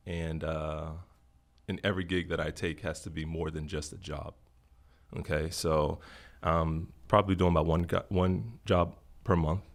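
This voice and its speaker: A middle-aged man from Florida talks in a relaxed interview, his low voice gravelly with fry. He speaks earnestly and thoughtfully, repeating phrases to stress a point. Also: normal speed. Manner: earnest, reflective, emphatic repetition. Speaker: podcast guest. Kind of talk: casual interview talk.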